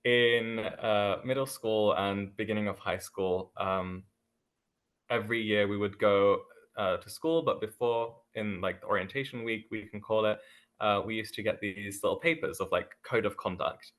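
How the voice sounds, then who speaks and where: A young man takes part in a panel talk, speaking in a thoughtful, measured way and pausing often on "um." His voice sits somewhat low. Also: fairly even intonation, fairly bright tone. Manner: thoughtful, measured, frequent ums. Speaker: panel guest. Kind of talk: casual panel discussion.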